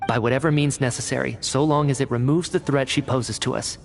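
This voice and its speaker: A man's voice: mid-range voice, steady tone, a little fast, creaky, background noise, close to an everyday voice. A man voicing a cool, rational young scientist in a video game, explaining precisely and matter-of-factly. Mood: detached, serious. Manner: precise, analytical, matter-of-fact. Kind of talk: video game voice acting, expository dialogue.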